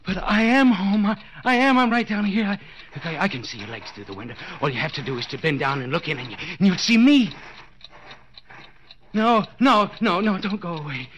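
An actor playing a desperate, jealous husband in a 1940s radio suspense play, halting and intense, repeating his words. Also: high-pitched, a bit bright. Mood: frantic panic. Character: desperate, jealous husband, a clock repairman trapped bound in cellar. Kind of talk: 1940s radio suspense acting, inner monologue. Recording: noisy vintage 1940s radio recording.

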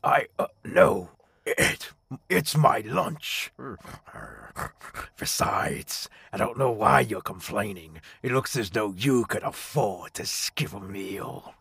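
An actor voicing a gruff, wicked werewolf jailer with a gravelly rasp and mock-polite taunts in a comedic fantasy audio drama. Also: male voice, fairly low pitch, expressive, slow, fairly bright tone, no room sound, full character voice. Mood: defensive, menacing. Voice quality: gravelly, raspy.

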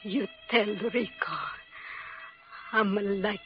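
An actress playing a frail, dying Italian wife in a radio drama, tender and wistful, with an Italian accent and weak, halting speech. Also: female voice, medium pitch, fairly even intonation, lightly acted. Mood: tender, fading. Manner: halting, sentimental. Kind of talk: 1940s radio drama acting. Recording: muffled old radio, music behind.

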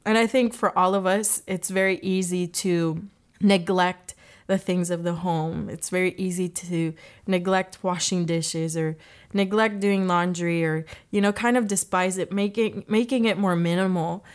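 A young woman hosting a podcast, reflecting on her faith in an earnest, gently emotional way, her voice catching now and then. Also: mid-range voice. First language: English. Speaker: podcast host.

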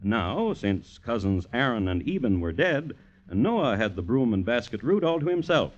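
An American actor playing a droll, deadpan crime storyteller with deliberate, formal scripted 1950s radio narration. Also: male voice, mid-range voice. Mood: droll, macabre. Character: droll, macabre male crime storyteller, dryly formal and unhurried. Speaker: narrator and host. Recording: muffled vintage radio recording.

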